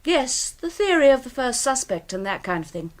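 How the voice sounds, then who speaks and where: Wary, animated young Englishwoman. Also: high-pitched, moderate pace. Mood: guarded. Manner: guarded, blunt. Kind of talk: British radio drama acting.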